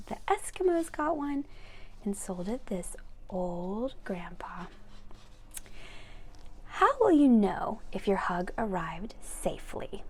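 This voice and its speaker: A woman's voice: medium pitch, creaky, darkish tone. A woman reading a children's picture book aloud expressively, with chatty asides to young listeners. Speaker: read-aloud storyteller for children.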